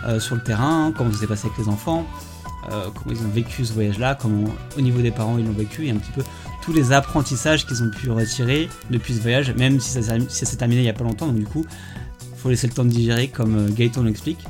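A man's voice: mid-range voice, quick pace, music in the background. A man hosting a travel podcast, chatting casually with frequent fillers and restarts. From France. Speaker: podcast host.

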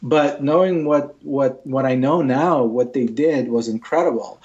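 A Russian-speaking man from Ukraine, heard as a guest recounting his past in a sincere, thoughtful manner. His voice sits at an ordinary pitch for a man. The recording is slightly dull and lo-fi. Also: measured pace, a little mellow. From Ukraine, former Soviet Union. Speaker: interview guest. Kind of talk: personal storytelling in conversation.

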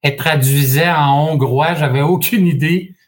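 Man, sixties, Québécois accent, fairly high voice, measured storytelling, dry humor. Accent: Québécois French. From Quebec. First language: French. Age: 60s. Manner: measured, with frequent hesitations and light humor. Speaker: guest, franchise expert. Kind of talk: relaxed storytelling interview.

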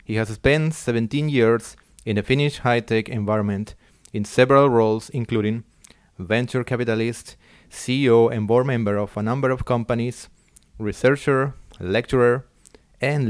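A man's voice: medium pitch, normal speed, dry recording, clean studio sound. A man hosting an interview podcast, reading a careful, formal introduction and then asking his guest questions. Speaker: podcast host.

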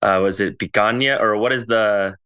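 A male podcast host with a low voice that still has a bright, forceful edge. He chats in a friendly, easygoing way as he asks his guest questions. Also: fairly even intonation, moderate pace, clear, resonant tone. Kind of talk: casual interview chat.

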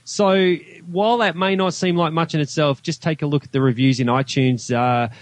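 A man's voice: a little high, steady tone, normal speed, no room sound. A man with an Australian accent chatting casually as a podcast guest, with a self-deprecating manner.

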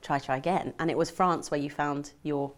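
A woman's voice: low voice, fairly even intonation, normal speed. A woman interviewing an author, asking questions in a friendly, encouraging way. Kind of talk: casual interview.

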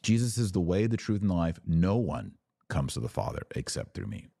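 Man, low-pitched voice, dry close recording, podcast host, conversational but emphatic religious commentary. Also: very animated, fairly quick, creaky, warm tone, no echo. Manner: emphatic, conversational, acts out imagined dialogue. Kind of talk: informal religious commentary, addressing listeners.

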